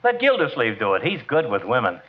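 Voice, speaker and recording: An actor playing a plainspoken, folksy, tactless poker buddy in a 1940s radio comedy. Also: male voice, mid-range voice, huge pitch swings, fairly quick. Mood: casual. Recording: muffled, noisy 1940s radio.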